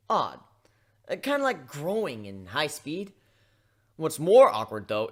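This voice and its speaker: Man playing a blunt, animated young griffin-turned-human. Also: a little high. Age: young adult. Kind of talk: dramatic fan-fiction reading.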